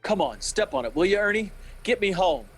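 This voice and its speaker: A man's voice: high voice, very animated, brisk, faint music behind. An actor playing a desperate, agitated family man in a pleading, old-time radio drama style. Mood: frantic, impatient.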